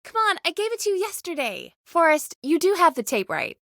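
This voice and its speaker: High-voiced, lively small-town radio producer. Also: female voice, a little fast, no echo, clean studio sound, heavily acted. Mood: playful, teasing. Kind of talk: video game voice acting, conversational.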